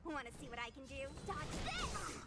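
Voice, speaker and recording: A woman's voice: sits high, wildly swooping pitch, moderate pace, creaky, heavily acted. A woman voicing an eager, earnest young female knight in an anime-style game, chatty and spirited, with music behind her. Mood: spirited battle cries.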